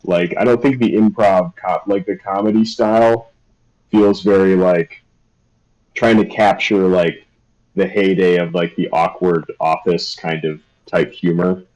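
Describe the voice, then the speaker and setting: A man's voice: sits low, steady tone, measured pace, darkish tone, highs cut off. A young man chatting casually on a live stream, rambling and hesitant with lots of fillers. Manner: rambling, hesitant, self-deprecating. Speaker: streamer and artist.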